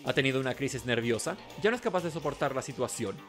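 A man's voice: medium pitch, animated, quick pace, soft background music, natural voice. A man voicing an arrogant, contemptuous young man from a video game in a haughty, commanding way. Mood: cold, scornful.

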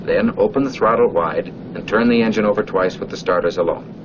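A man's voice: fairly low pitch, a little fast, lightly acted. A man playing a stern, needling flight instructor who narrates a 1940s military training film and addresses the trainee directly. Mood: chiding. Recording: muffled old film soundtrack, clear noise.

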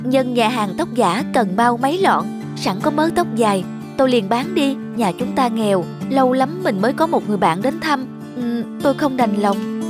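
A woman voicing a gentle, self-sacrificing young wife from a poor home in a cartoon. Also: fairly high pitch, lively intonation, normal speed, lightly acted. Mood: gentle, resigned. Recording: background music throughout.

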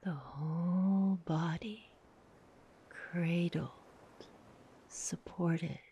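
Breathy, half-whispered woman guiding meditation. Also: fairly low pitch, dark tone. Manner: soothing, unhurried with long pauses. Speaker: meditation guide. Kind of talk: guided meditation, soft hypnotic narration.

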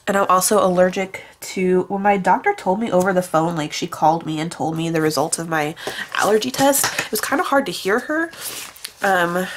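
A young woman talks in a nasal, stuffed-up voice, pitched a little low. She rambles casually with plenty of fillers while she works on a planner at her desk. A faint hiss of background noise sits under the overhead camera mic. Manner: rambling, chatty, with many fillers. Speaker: planner vlogger. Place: at a desk indoors, hands on a planner.

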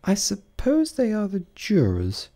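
A man's voice: sits high, wildly swooping pitch, a little slow, mellow, pitched above the performer's own voice, full character voice. A man reading an audiobook voices a curious, prim little girl with a raised, girlish voice.

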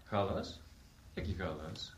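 An actor playing a deferential, unflappable servant with an understated manner in a 1960s radio drama. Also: male voice, low voice, huge pitch swings, takes it slowly, full character voice. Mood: evasive. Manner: obsequious, understated. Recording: echoey room.

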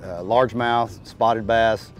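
Low-voiced man over guitar music. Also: fairly even intonation, takes it slowly. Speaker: angler. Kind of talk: casual explanatory narration. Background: plucked guitar music.

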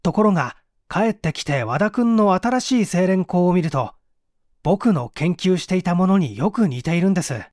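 Casting: man as earnest investigator-scientist for a storybook mystery; emphatic, lively, highish voice. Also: normal speed, dry recording, studio quality. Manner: urgent, emphatic, persuasive. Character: earnest, bold young male researcher-detective.

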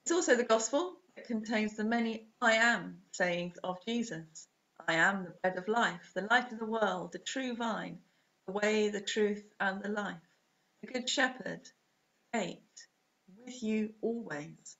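Woman, medium pitch, scripted sermon, deliberate phrasing, dull lo-fi webcam sound. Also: fairly bright tone. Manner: measured, deliberate phrasing in short units. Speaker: preacher, Anglican priest. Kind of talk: scripted sermon read to camera. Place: small home room, indoors. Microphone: webcam or laptop at desk distance. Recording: dull, muffled webcam audio.

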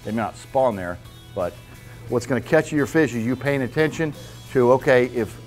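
A man's voice: mid-range voice, normal speed. Fifties guy teaching a fishing class, blunt and chatty, lapel mic in a meeting room, a bit of music underneath. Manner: blunt, folksy, repeats "okay?" to check listeners. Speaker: fishing seminar speaker. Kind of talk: casual hands-on fishing talk. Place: club meeting room with whiteboard.

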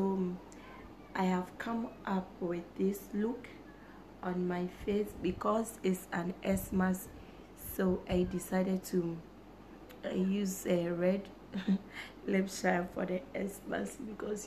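Hoarse lady vlogging to camera in a small room, slow and chatty, a little hiss behind. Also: on the low side, a little mellow. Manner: chatty, repeats herself, slow and halting. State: hoarse, lost her voice. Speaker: YouTuber. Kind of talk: casual talk to camera.

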